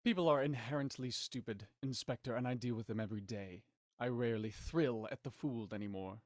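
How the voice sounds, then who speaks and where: An actor playing a smug, menacing villain in an audio drama, mocking, theatrical and condescending in manner. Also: male voice, mid-range voice, brisk, no echo, studio quality, close to an everyday voice. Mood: cold, resigned. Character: smug, menacing male villain, cold culprit and puppet-master writer.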